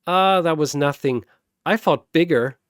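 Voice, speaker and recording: A man doing a high, eager young soldier girl. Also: unhurried, clear, resonant tone, dry recording, clean studio sound, natural voice. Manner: blunt, excitable. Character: young female soldier, eager and food-loving.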